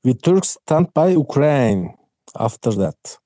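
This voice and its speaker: A man from Turkey with a Turkish accent, calling in to an online audio chat and speaking slowly and hesitantly. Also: mid-range voice, big pitch swings, no room sound, studio quality. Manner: slow, hesitant, loud. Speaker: caller.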